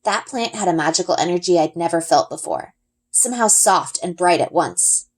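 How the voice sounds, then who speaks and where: Animated woman reading a story aloud. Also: on the low side, normal speed. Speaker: story reader. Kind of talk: expressive children's book read-aloud narration.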